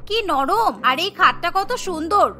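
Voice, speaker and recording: A woman's voice: very high-pitched, expressive, normal speed, pushed and bright, soft background music, exaggerated voice. A woman voicing an outspoken, cheeky young sister-in-law in a cartoon. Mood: delighted.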